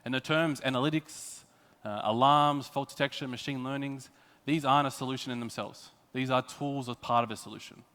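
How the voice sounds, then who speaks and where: A man's voice: medium pitch, steady tone, brisk, a bit bright. An Australian man giving a prepared technical conference presentation, explaining things with emphatic phrasing. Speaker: conference speaker. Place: conference hall. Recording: echoey hall with long reverb.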